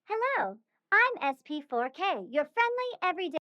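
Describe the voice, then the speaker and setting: A processed voice playing a friendly, cheerful robot assistant through pitch shift and vocoder effects, chirpy and formulaic. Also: big pitch swings, normal speed, muffled recording. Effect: layered robot filter: pitch shift, chorus, vocoder. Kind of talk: scripted sound-effect demo line.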